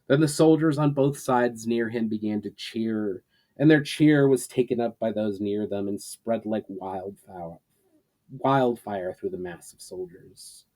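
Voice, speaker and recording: A man's voice: fairly low pitch, a little slow, warm tone, poor audio. A man who hosts a storytime channel, speaking as an author presenting and narrating his own story. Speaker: author reading own book, channel host. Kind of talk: dramatic story narration and casual sign-off.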